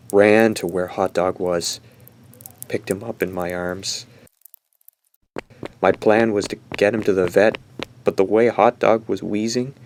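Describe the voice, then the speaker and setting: A man playing a sardonic, guilt-ridden teenage boy in a radio drama, brooding and halting as he confesses. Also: low voice, a little mellow. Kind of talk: radio drama acting with first-person narration.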